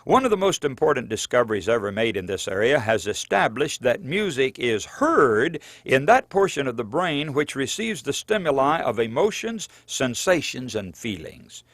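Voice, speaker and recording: A man reading his own book aloud as scripted audiobook narration, in an earnest, measured preaching cadence. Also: mid-range voice, sing-song, a bit bright, no room sound.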